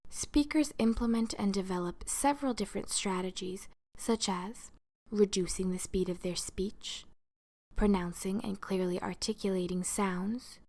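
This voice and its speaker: A woman with a mid-pitched voice narrates an educational video as a lecturer. She reads from a script in a formal register. Her delivery is measured, and each phrase is carefully articulated. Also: a little mellow.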